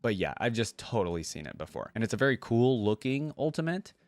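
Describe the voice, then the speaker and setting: A man's voice: mid-range voice, big pitch swings, brisk, dry recording. A man hosting a game reaction video with casual, laid-back, chatty commentary, thinking aloud as he goes.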